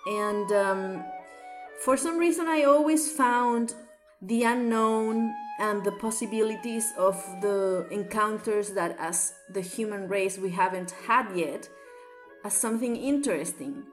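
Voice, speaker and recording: A woman's voice: mid-range voice, measured pace, soft background music. A woman from Chile with a Chilean accent, reading a survey aloud and then telling her own story with ums and uhs.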